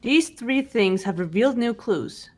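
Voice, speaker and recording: A woman's voice: a little high, expressive, unhurried, a little mellow, faint music behind. Woman playing a composed young female detective. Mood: cool, analytical. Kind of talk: fan-dubbed visual novel game acting.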